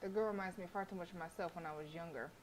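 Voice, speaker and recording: A woman's voice: lowish voice, very fast, slight background noise. A woman streamer reading a visual novel aloud expressively, with casual, sassy asides. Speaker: game streamer.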